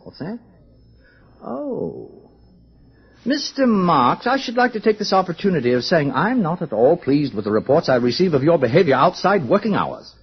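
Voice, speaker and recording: An actor playing a pompous older male director with a rambling, halting, officious manner in a radio drama. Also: fairly high pitch, breathy edge, heavily acted. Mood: stern, officious. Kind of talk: old-time radio drama acting. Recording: dull, muffled old radio recording.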